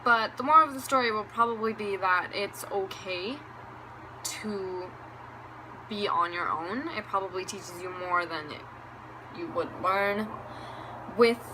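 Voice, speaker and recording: A woman's voice: mid-range voice, unhurried, pauses often. A young woman from Venezuela telling a candid, rambling story time for her vlog, laughing now and then. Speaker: beauty vlogger. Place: room at home with a fan running. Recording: fan hum in background.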